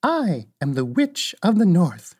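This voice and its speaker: A reader putting on a sweet, calm little old witch. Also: slow pace, breathy edge, heavily acted. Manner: high, sweet, gently cheerful.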